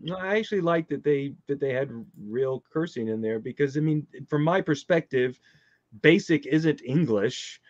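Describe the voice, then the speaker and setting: A man's voice: on the high side, lively intonation, moderate pace, a little mellow, no room sound, highs cut off. A man speaking as a guest in a casual panel discussion, wry and matter-of-fact. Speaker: podcast guest.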